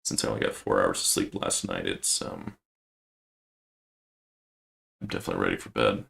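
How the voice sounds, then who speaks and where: Male streamer, deep gravelly voice, musing low-key play-by-play. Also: steady tone, moderate pace, fairly bright tone. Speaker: game streamer. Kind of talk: casual gameplay commentary.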